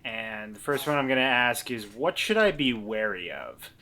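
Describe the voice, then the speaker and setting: A man with a bright, forward voice at a middling pitch takes his turn in a tabletop game. His talk is casual and tentative, worked out aloud as he goes. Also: lively intonation, normal speed, a little hiss or hum. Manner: hesitant, thinking aloud. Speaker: player in a tabletop role-playing game.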